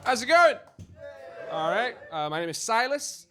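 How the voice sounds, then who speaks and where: A man's voice: very high-pitched, big pitch swings, unhurried, sharp tone, soft background music. A man from Romania with a Glaswegian accent doing a punchy stand-up set in a basement club. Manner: punchy, swings between voices. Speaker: stand-up comedian.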